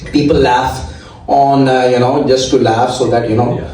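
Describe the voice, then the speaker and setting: A man with an Indian accent doing a stand-up comedy set, talking haltingly with lots of filler sounds and restarts. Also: medium pitch, flat delivery, warm tone. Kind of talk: stand-up set at an open mic. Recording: echoey room, mic heard from a distance.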